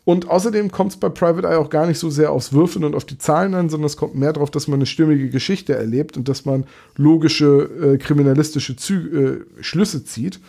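A man's voice: a little high, brisk, gravelly, dark tone, slightly rough. A young man talking casually as a game master, explaining rules and rambling about dialects with frequent restarts. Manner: chatty, explains at length with many restarts. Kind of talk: casual chat about tabletop games.

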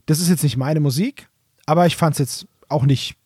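A man's voice: fairly high pitch, moderate pace, a little mellow, husky, no room sound, clean studio sound. A man co-hosting a podcast, chatting casually in colloquial, slangy phrasing. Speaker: podcast co-host.

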